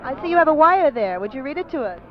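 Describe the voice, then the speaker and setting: Woman, high voice, effusive and courteous awards-event radio host on a dull 1950s recording. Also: big pitch swings, fast, crowd noise. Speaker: radio interviewer.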